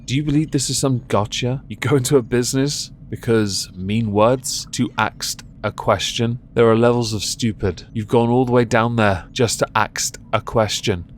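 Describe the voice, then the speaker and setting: A man's voice: mid-range voice, slight background noise. A man hosting a commentary on viral clips, talking in a sardonic, scolding way. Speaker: commentary show host.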